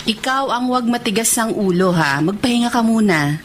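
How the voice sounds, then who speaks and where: An actress voices a friendly, teasing woman in a radio drama. She is chatty and solicitous, with a fairly high, very lively voice over faint ambient noise. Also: brisk, lightly acted. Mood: fussing, concerned.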